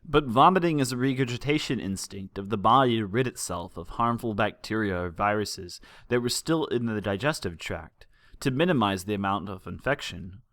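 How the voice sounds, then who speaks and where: A man's voice: on the low side, moderate pace, fairly bright tone, no echo. A man reading a fan fiction story aloud as a scripted audiobook narration. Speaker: audiobook reader.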